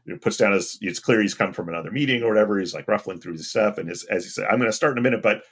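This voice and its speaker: A man with a deep voice talking casually and anecdotally as an interview guest. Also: racing, slightly rough. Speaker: podcast guest, game industry UX professional.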